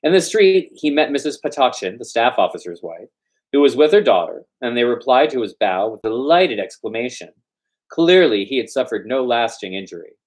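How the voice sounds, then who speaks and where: A man's voice: medium pitch, very animated, a little fast. A man reading a story aloud as host, with a theatrical, playful storytelling delivery. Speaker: host and reader of a storytime show. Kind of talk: dramatic storytelling read-aloud.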